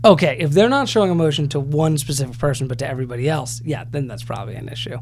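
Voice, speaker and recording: A man co-hosting a casual advice podcast as a therapist, easygoing and teasing, with a slightly creaky voice. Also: a little high, racing, darkish tone, a little hiss or hum.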